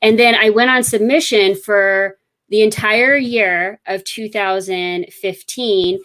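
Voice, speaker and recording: A woman's voice: medium pitch, steady tone, takes it slowly, a bit bright, rough recording. Chatty woman author, rambling. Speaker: author guest. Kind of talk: casual interview chat.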